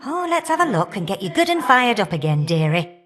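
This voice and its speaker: Fiery older goddess for a game, cheeky and folksy, teases you fondly. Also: female voice, mid-range voice, sing-song, brisk, fairly bright tone. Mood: cheeky, affectionate. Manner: folksy, teasing, affectionate endearments and colloquial turns of phrase. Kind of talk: video game character voice acting.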